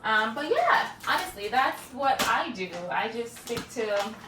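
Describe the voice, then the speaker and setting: A woman's voice: fairly high pitch, expressive, unhurried, noisy background. A woman with a Jamaican accent chatting casually as a cooking vlogger, filling her talk with "like" and "guys". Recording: echoey room, distant mic, music underneath.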